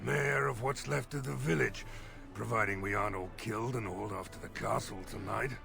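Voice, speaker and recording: An actor playing a weary, worried village mayor in a fantasy game, with game music behind him. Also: male voice, on the low side, brisk, creaky. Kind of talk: video game voice acting.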